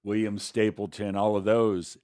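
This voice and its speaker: A man's voice: lowish voice, little pitch movement, unhurried, darkish tone, clear, resonant tone, no room sound. A man co-hosting a talk show with conversational political commentary, hesitant and rambling, often repeating himself.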